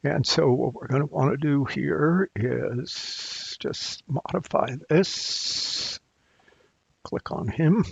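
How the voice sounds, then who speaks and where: A man with a gravelly, raspy voice walks through a software tutorial. He takes his time, thinking aloud and halting between phrases as a support presenter working off the cuff. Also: medium pitch, no room sound, dull audio. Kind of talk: unscripted software screencast walkthrough.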